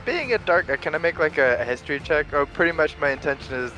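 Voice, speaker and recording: Bright-voiced man, halting game player. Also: a little high, animated, faint music behind, low-quality recording. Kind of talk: casual roleplay chat among friends.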